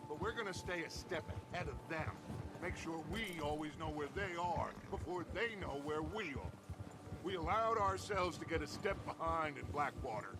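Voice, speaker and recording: An actor playing a charismatic, commanding outlaw gang leader in a western game, with an American accent and a grandiose, rallying delivery. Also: male voice, a little high, animated, normal speed, background noise. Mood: proud, defiant. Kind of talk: cinematic western game acting. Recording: music under the voice.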